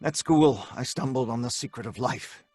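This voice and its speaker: A guilt-ridden, defiant male scientist tells his story in an old radio horror style, played by a man. His voice is medium-pitched, grave and deliberate. It sounds close and dry. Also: a little mellow, no echo, faint music behind, lightly acted. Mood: grim confession. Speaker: lead character and narrator. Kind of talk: old-time radio drama acting.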